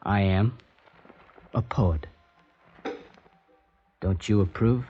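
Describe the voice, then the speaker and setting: An actor playing a thoughtful American poet with an American accent, in a 1950s radio drama. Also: male voice, lowish voice, very slow, dull audio, soft background music. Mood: wry. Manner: calm, wry, thoughtful. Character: reflective American poet abroad, a man. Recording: vintage radio broadcast with faint hiss.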